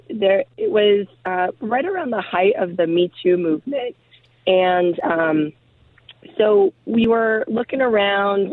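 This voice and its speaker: A woman who has lost her voice to a cold, speaking hesitantly as a guest in a casual interview, filling pauses with ums. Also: medium pitch, no room sound. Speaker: guest, program founder. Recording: tinny phone line.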